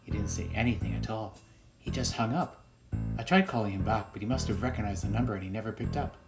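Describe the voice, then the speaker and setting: A man's voice: lowish voice, animated, quick pace, vocal fry, music in the background. A man playing a helpful, insistent school principal in a radio play, explaining things conversationally. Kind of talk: amateur radio drama dialogue.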